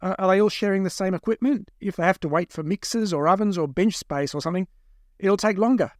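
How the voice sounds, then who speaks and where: A man's voice: sits high, fast talker, dry recording, studio quality, voice pushed up, lightly acted. A man voicing a frightened male captive in an audiobook, protesting and defensive, stammering as he pleads. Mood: anxious, urgent. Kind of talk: dramatised audiobook dialogue.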